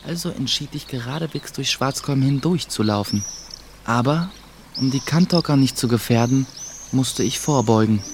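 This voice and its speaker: A male actor as a reflective first-person narrator in a radio play, looking back on his youth. He tells it in measured, scripted storytelling. Noisy ambience sits clearly behind the voice. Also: mid-range voice, natural voice. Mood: grave, reflective. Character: grown Krabat, reflective narrator recalling his dangerous youth.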